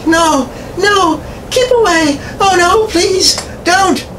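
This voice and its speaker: A man voicing a frightened young damsel in distress, shrill and pleading, in radio horror style. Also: takes it slowly, fairly bright tone, recorded from a distance, slight background noise, full character voice, low-quality recording. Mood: terrified. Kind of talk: old-time radio horror acting.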